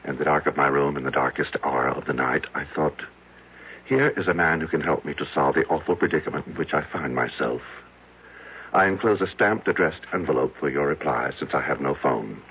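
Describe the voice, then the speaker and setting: Very deep, formal male therapist. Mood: formal, composed. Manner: formal, deliberate. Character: measured, formal male therapist receiving a troubled patient. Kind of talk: 1970s radio drama acting. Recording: muffled old radio recording, faint hiss.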